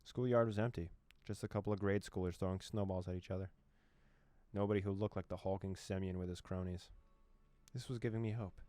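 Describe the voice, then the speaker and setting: A man reading a visual novel's text aloud as a streamer, with quick joking asides. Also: low voice, steady tone, dark tone, no echo. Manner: reads dialogue aloud, breaks off with joking asides and laughs. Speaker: gaming streamer. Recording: faint game music behind.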